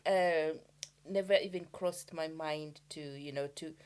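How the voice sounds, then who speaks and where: This is a woman from Uganda sharing her thoughts on a podcast. Her voice sits slightly low, and she speaks reflectively, halting often with fillers as she gathers her words. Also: fairly even intonation, a little mellow, clear, resonant tone. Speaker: podcast co-host. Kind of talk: casual chat.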